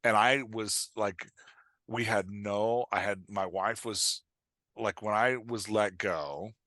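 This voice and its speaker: Middle-aged man, low voice, candid talker. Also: steady tone, slow, bright, forceful tone, no echo, studio quality. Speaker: podcast guest, fiction writer and dialogue coach. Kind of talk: candid, conversational interview talk.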